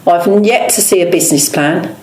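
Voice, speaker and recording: A woman's voice: fairly low pitch, moderate pace, a little mellow. An English woman speaking as a local councillor in an interview, plain-spoken and emphatic as she campaigns. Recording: echoey room.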